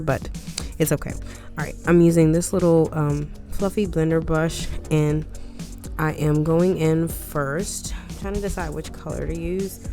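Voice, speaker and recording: A woman hosting a casual makeup tutorial, chatty and rambling with asides, her voice a bit congested and scratchy from a cold. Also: sits low. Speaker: beauty vlogger.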